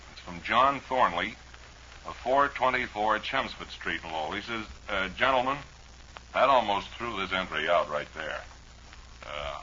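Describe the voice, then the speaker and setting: Male 1940s radio host, low and forceful voice, rambling mock-serious mail-reading chat, muffled vintage broadcast sound. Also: a little hiss or hum. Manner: rambling, dryly humorous, mock-serious asides.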